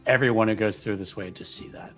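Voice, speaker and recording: A man's voice: fairly low pitch, fast, fairly bright tone, soft background music. A man chatting casually as player and host of a roleplaying livestream, with hesitant, stop-and-start phrasing. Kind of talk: casual livestream game chat.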